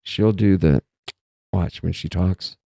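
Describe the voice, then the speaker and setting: A man with a very low, gravelly voice talks in a loose, meandering way, breaking off his own sentences as he thinks aloud. His tone is dark and mellow, and the sound is close and dry. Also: slow, no room sound. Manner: meandering, self-interrupting, musing aloud. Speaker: host. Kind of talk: rambling casual monologue.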